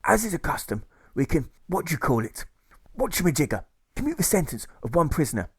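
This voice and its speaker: A man's voice: fairly high pitch, sing-song, a little fast, slightly rough, dry recording, clean studio sound, pitched above the performer's own voice, exaggerated voice. Man doing a muddled old colonel. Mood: blustering. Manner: fumbles for words. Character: rambling forgetful old army colonel.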